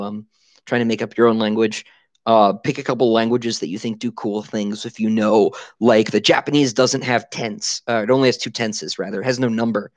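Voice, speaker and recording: A man in his thirties answering viewer questions in a casual livestream from a small room at home, on a headset mic, animated and full of fillers and restarts. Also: mid-range voice, no echo. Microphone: headset boom mic.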